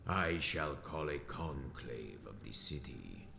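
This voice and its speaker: An extremely low, gravel-throated vampire warlord from a video game. He declaims slowly and theatrically, hateful and grand, dropping into hisses. His voice echoes, with music behind it. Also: expressive, sharp tone, full character voice. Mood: grave, rallying. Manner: theatrical, declamatory, hissing into whispers. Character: grandiose, menacing vampire sect leader, old and hateful. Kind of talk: dramatic video game voice acting.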